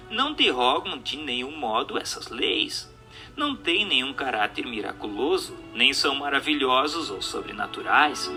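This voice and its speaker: Male narrator, formal audiobook read, sharp and very forceful tone, didactic delivery, music underneath. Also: mid-range voice. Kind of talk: formal scripted narration of a religious-philosophical book.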